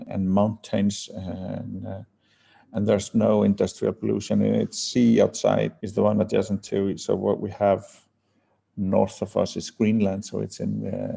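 A man from Iceland answering interview questions as a company founder, speaking haltingly with many fillers and restarts. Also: fairly low pitch, vocal fry, warm tone, husky.

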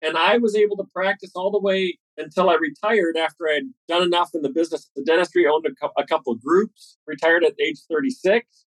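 Eager, quick-talking man, dry sound. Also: a little high, fairly even intonation, dry recording, low-quality recording. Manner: fast, eager storytelling with restarts. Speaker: dentist and guest. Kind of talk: podcast interview answers.

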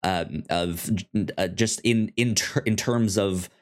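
A man co-hosting a casual TV show discussion, talking haltingly, repeating words and trailing off mid-thought. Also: sits low. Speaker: podcast co-host.

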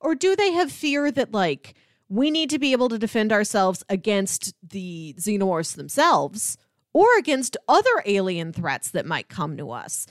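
A woman's voice: mid-range voice, big pitch swings, moderate pace, no room sound, studio quality. A woman podcast co-host in casual film discussion, thinking aloud and talking through examples in a conversational way.

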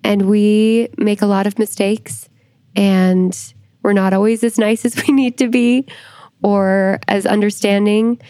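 A woman speaking as a church historian in a reflective, devotional discussion, earnest and measured in her delivery. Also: mid-range voice, fairly even intonation, mellow, dry recording. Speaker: church historian, podcast guest.

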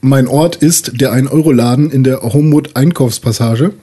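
A man's voice: medium pitch, normal speed, dark tone, breathy edge. A man chatting casually as a player in a tabletop role-playing game. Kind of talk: casual chat.

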